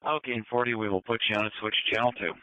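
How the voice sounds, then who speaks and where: A man's voice: on the low side, fairly even intonation, very fast, sharp tone, clean tone, no echo, dull audio. A man working as a fire dispatcher, reading out calls over emergency radio. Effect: radio transmission.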